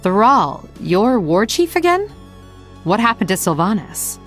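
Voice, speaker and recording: An actress voicing a proud, sardonic blood elf rogue for a video game, with a haughty, cutting manner. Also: female voice, medium pitch, sing-song, measured pace, fairly bright tone, faint music behind. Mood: cool. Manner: haughty, cutting, dry teasing. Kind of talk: video game hero voice lines.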